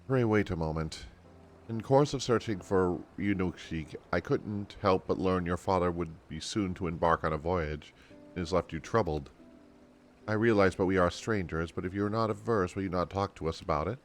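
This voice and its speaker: Man reading a deep-voiced courtly fantasy storyteller. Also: moderate pace. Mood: kindly, formal. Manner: formal, archaic, kindly phrasing. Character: earnest, courtly female storyteller in a fantasy game. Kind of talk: game dialogue read aloud.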